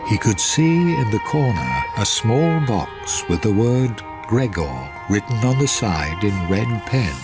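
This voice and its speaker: Slow, low-pitched male narrator. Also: sing-song, over music. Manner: measured, deliberate. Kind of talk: scripted dramatic story narration.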